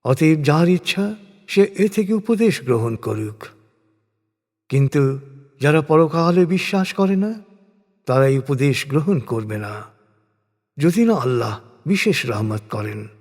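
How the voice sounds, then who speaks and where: A man reads scripture in translation as a solemn scripted narration, with measured, dramatic phrasing and deliberate pauses. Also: a little high, lively intonation, a little mellow. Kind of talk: solemn scripted religious recitation in prose. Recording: long hall-like reverb.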